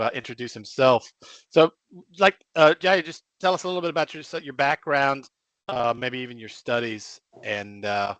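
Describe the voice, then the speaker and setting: Male radio host, bright forward voice, dry close sound, halting and informal. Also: mid-range voice, no room sound.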